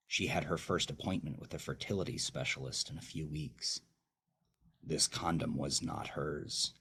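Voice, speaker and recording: Very deep, husky man narrating. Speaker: horror story narrator and host. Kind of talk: dramatic scripted narration of dark fiction.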